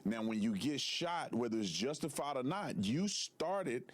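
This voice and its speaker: A man giving measured, slightly halting commentary in a clip played on a stream. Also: mid-range voice, expressive, no room sound. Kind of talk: explanatory commentary.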